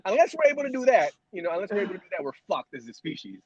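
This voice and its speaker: A man's voice: sits high, sing-song, rapid-fire, highs cut off. A man co-hosting a casual podcast chat who stammers, repeats his words and swears freely. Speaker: podcast co-host.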